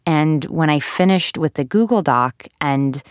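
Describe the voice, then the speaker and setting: A woman's voice: low voice, expressive, a little slow, no room sound, clean studio sound. A woman, an author, introducing herself and talking frankly and directly about why she wrote her book.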